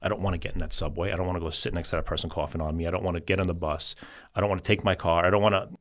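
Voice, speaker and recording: Man, from greece, low pitch, fast, repeats himself, casual interview talk. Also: steady tone. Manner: rambling, repeats words, quick asides. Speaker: scooter dealership chief executive, guest.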